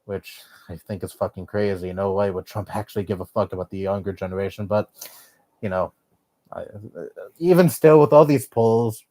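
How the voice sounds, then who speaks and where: A man's voice: lowish voice, normal speed, mellow, dry recording. A young man streaming live, answering chat in a blunt, rambling way and swearing often.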